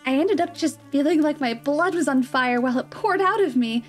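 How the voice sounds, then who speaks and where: A woman playing an earnest, idealistic, excitable young female adventurer in a fantasy roleplay, with a slightly nasal voice. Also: sits high, fairly quick, soft background music, close to an everyday voice. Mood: warm, confiding. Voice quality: nasal from a cold. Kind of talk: roleplay audio drama, cute.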